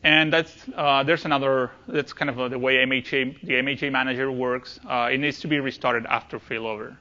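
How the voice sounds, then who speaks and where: A man's voice: mid-range voice, steady tone, a little fast, bright, forceful tone, dull audio. A man presenting technical material at a conference, speaking hesitantly and often repeating words as he goes.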